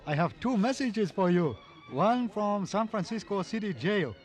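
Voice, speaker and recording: High-voiced eager hotel messenger. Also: male voice, lively intonation, a little slow. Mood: eager, deferential. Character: eager, deferential Chinese hotel messenger in broken English. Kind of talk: 1950s radio western acting. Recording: vintage radio recording with music.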